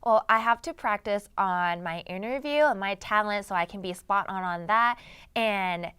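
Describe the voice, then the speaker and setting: A woman's voice: medium pitch, moderate pace, pushed and bright, clean tone, dry recording. A young woman from Thailand, a native Thai speaker, answering interview questions in a casual conversational way. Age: young adult. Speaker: interview guest, pageant titleholder and journalism student.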